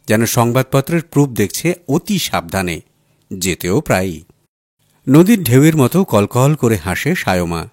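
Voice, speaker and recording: A man's voice: medium pitch, no echo, studio quality. A man reading a literary story aloud as a dramatised audiobook narration. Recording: music playing behind the voice. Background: music bed.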